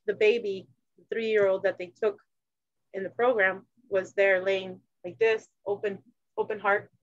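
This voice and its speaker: A woman's voice: mid-range voice, no echo. Woman telling her story, dull dry sound. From Arizona. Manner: halting, restarts, animated when quoting others. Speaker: guest. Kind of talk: casual personal conversation.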